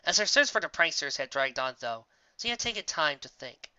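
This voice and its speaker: Amateur male story reader, bright and tense, occasionally tripping on words, close dull home recording. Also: on the high side, sing-song, a little fast, clear, resonant tone, no echo. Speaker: fan fiction reader. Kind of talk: scripted story narration.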